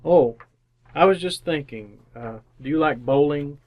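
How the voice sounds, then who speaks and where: A man's voice: fairly high pitch, unhurried, natural voice. A man acting in an old-time style radio drama as a bashful, folksy young married man who stammers and hesitates. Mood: awkward, shy.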